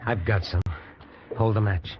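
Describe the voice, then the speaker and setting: A man's voice: on the low side, steady tone, unhurried, lightly acted. An actor in an old-time radio drama playing a worn-out fugitive prisoner, plainspoken, wry and resigned to his fate. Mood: tense, hopeful. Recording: dull, noisy old radio recording.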